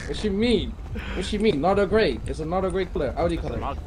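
A man's voice: high-pitched, expressive, fast talker, over a noisy crowd. A young man talking in casual, slangy chat as a player in an online role-play game. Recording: clearly noisy game voice chat. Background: game street and vehicle sounds.

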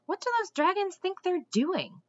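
A woman's voice: very high-pitched, sing-song, normal speed, lo-fi sound, pitched above the performer's own voice. A woman voicing a puzzled, grumbling male ruler of an enchanted forest in a storybook, muttering to himself. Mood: angry muttering.

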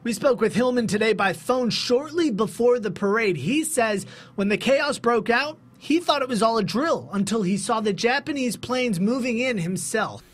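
Young man, high-pitched voice, news anchor, scripted narration. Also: lively intonation, normal speed. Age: young adult. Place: TV news studio.

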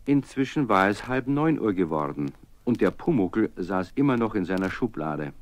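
An actor playing the storyteller of a children's radio play, giving scripted narration. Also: male voice, fairly low pitch, sing-song, moderate pace, highs cut off. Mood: storytelling.

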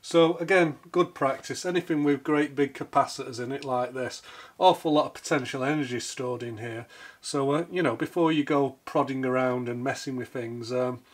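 A middle-aged man with a croaky voice from a cold, chatting casually as he repairs gear at his workbench. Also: medium pitch, normal speed. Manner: chatty, thinking aloud while working. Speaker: repair video host. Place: home workshop bench.

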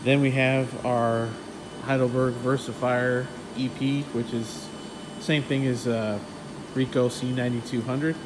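A man gives a relaxed tour of his print shop, explaining machines with plenty of "uh"s and "um"s in an even, level tone. His voice comes from a handheld phone as he walks through offices and a warehouse. A clear air-conditioning hum runs underneath. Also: medium pitch, unhurried. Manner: relaxed, technical, many fillers. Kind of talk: casual walkthrough tour. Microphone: handheld phone camera, filming while walking.

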